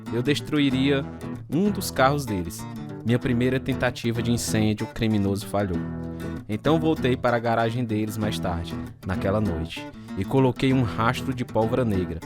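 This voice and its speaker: A man's voice: mid-range voice, close to an everyday voice. A man acting as a volatile, embittered heavy drinker who tells his own story in a dramatised radio narration. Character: bitter, volatile hard-drinking husband telling his story. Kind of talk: dramatised first-person scripted narration. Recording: loud guitar music behind the voice.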